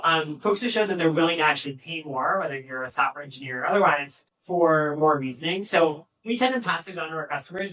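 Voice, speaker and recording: A man answering as a panelist at a live fireside chat, explaining in a halting way, picked up by a distant mic. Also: on the high side, pushed and bright. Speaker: panelist, AI agent engineer. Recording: distant mic, poor event audio.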